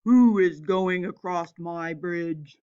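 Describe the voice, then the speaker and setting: A woman voicing a mean, greedy storybook troll who shouts menacing threats in drawn-out words. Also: lowish voice, clear, resonant tone, dull audio, voice pushed down. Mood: menacing, shouting.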